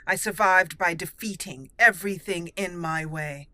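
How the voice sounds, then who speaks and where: A woman's voice: fairly low pitch, normal speed, pushed and bright, dry recording. A woman voicing a resolute, commanding female elf mage in a game roleplay, firm and declamatory. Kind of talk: acted roleplay dialogue over a game.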